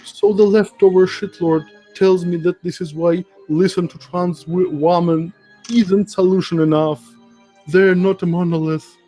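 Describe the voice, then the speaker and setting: A man with a notably high voice reads aloud in a mock-dramatic streamer style. His delivery is theatrical and giggly, and music plays softly behind him. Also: fairly even intonation, measured pace, rough recording. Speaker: streamer reading a social media thread aloud. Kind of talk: mock-dramatic reading with amused asides. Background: background music.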